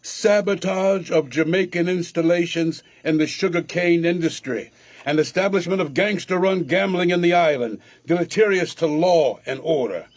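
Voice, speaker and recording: A man acting a pompous, genial Jamaican Supreme Court judge in a radio drama, formal and halting in his delivery. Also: a little high, lightly acted.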